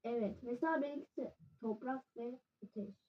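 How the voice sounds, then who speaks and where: Calm fairy mentor played by a young woman for a game roleplay; quiet, matter-of-fact, muffled lo-fi sound. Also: fairly high pitch, a little fast, voice pushed down, lightly acted.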